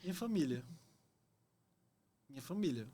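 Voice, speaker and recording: A man's voice: a little high, very animated, moderate pace, mellow, breathy edge. A young adult man with a Pernambuco accent, an actor guest talking reflectively and earnestly with plenty of fillers. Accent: Pernambuco Portuguese. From Pernambuco, Brazil. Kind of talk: casual interview talk.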